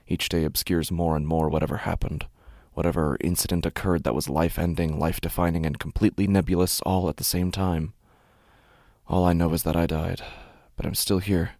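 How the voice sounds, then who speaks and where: An actor playing a weary, haunted walking-dead man in a horror audio drama, brooding and numb, with halting, deliberate phrasing. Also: sits low, vocal fry, a little mellow, no echo, studio quality.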